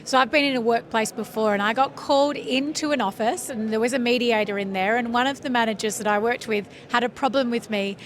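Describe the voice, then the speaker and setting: A woman's voice: a little high, a little fast, hardly any pauses. Chatty woman interviewer. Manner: chatty and enthusiastic. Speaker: podcast host and interviewer. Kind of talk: conference interview. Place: trade conference.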